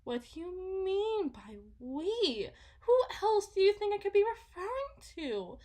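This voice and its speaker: A woman playing a delusional, possessive mother in a dramatic ASMR roleplay, halting and repeating herself theatrically, shifting between hushed and intense. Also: extremely high pitch, big pitch swings, full character voice. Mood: fretful, insistent. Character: delusional, possessive mother smothering her supposed lost child.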